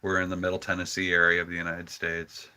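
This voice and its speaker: A man with a low-pitched voice hosts an easygoing livestream about his animals. He talks in a casual, conversational way, with an affectionate streak of pet talk. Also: completely flat delivery, quick pace, pushed and bright, clear, resonant tone. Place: on a farm.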